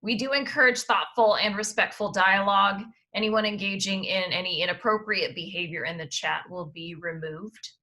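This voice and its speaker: Woman host, bright forceful voice, runs an online panel with steady instructions. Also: medium pitch, normal speed, few pauses, rough recording. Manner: organized, gives instructions, occasional fillers. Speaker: assistant dean of admissions, panel host.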